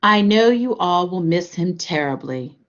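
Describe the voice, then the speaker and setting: A woman's voice: lowish voice, expressive, a little slow. A young woman voicing a kind, sorrowful woman schoolteacher in a story reading. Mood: gentle, sad. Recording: dull lo-fi recording.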